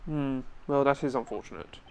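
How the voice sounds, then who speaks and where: A man's voice: mid-range voice, moderate pace, a little hiss or hum. A man fan-dubbing a game, switching between acted lines and confused asides. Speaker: fan voice actor and player. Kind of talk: fan dub with live commentary. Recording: faint game music behind. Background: game music.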